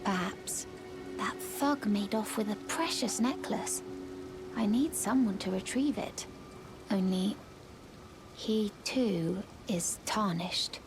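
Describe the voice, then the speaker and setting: A woman playing a courteous, mysterious young servant of a noble house in a video game, with a hushed, breathy, formal delivery. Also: medium pitch, slow pace, music in the background. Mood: pleading, demure. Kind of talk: video game character acting.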